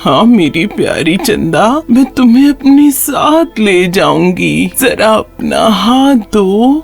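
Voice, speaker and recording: A woman's voice: medium pitch, sing-song, creaky, soft background music. A woman voicing a kindly, tender elderly woman in a children's cartoon story, speaking in an affectionate, soothing manner. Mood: loving.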